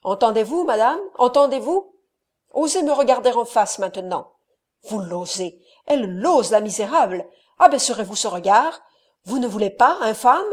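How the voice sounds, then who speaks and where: A woman voicing a furious, jealous nobleman who hurls loud accusations in an audiobook reading. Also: fairly high pitch, normal speed, lightly acted. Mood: enraged.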